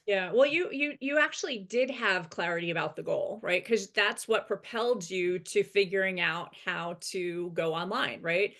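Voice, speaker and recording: A woman's voice: medium pitch, normal speed, hardly any pauses, fairly bright tone. A woman executive coach, a guest on a webinar, explains her ideas steadily over a video call and keeps checking in with "right?". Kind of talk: casual webinar conversation.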